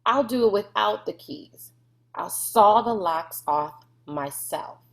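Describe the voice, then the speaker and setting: A woman's voice: mid-range voice, lively intonation, slow. A woman voicing a headstrong, bossy young girl in a storybook, insistent and emphatic. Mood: defiant.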